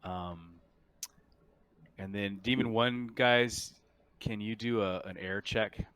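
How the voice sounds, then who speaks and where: A male game master narrates a tabletop roleplaying game in a fairly low, smooth voice. He is deliberate and halting as he sets out scenes and rulings. The sound is dry, with little room in it. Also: steady tone, a bit bright, dry recording.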